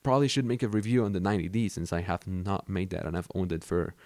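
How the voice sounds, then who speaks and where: Low-voiced young man talking cameras. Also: quick pace, no echo. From Mexico. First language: Spanish. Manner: rambling, relaxed, many fillers and restarts. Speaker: vlogger. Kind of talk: casual talk about his camera gear.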